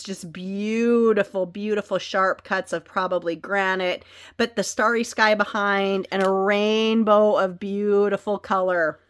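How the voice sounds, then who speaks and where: A woman reading oracle card messages, gentle and earnest, her voice a bit scratchy from a cold. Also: medium pitch. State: slightly scratchy, recovering from a cold.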